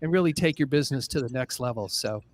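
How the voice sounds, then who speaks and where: A man's voice: medium pitch, lively intonation, fast, dry recording. A man in his fifties, a guest on a podcast, telling his story in an upbeat, self-deprecating way. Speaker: guest, business association president. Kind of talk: casual interview storytelling.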